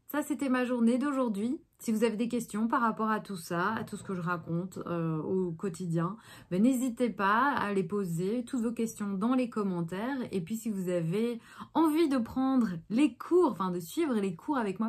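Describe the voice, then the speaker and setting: A woman's voice: medium pitch, lively intonation, moderate pace. A woman, chatting casually about her day in a rambling vlog with many fillers. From Belgium. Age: 30s. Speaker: actress vlogger.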